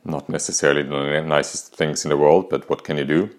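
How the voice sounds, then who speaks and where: A man in his fifties with an Austrian accent, hosting a podcast and calmly telling a candid personal story. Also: a bass voice, fast talker. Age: 50s. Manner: calm, reflective, candid. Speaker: podcast host.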